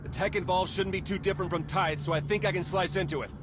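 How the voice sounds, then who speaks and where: A determined male agent acted by a man speaks in a high, urgent voice. The delivery is brisk video game acting with very loud music behind it. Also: steady tone, natural voice. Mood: urgent, focused.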